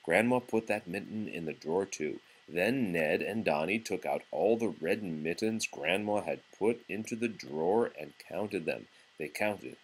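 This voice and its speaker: A man reads a children's book aloud in a low, husky voice. His delivery is very animated and expressive, yet patient and encouraging, as if for a small child beside him. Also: unhurried, few pauses, low-quality recording. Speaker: parent reading a picture book aloud to a young child. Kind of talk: storybook read-aloud.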